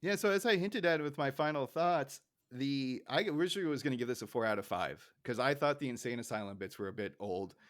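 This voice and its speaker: A man's voice: mid-range voice, fast, hardly any pauses, fairly bright tone, dry recording, clean studio sound. A man hosting a podcast in a casual, conversational style. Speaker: podcast host.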